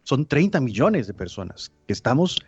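Costa Rican priest on a dull line. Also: male voice, medium pitch, very animated, normal speed, husky, dry recording. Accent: Costa Rican Spanish. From Costa Rica. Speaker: priest, radio guest. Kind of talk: remote radio interview.

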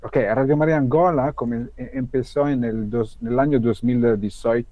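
A man with an Italian accent speaking in halting, hesitant Spanish, full of fillers and slips into Portuguese and Italian. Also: medium pitch, steady tone, warm tone, dry recording. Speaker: guest, mission radio project manager.

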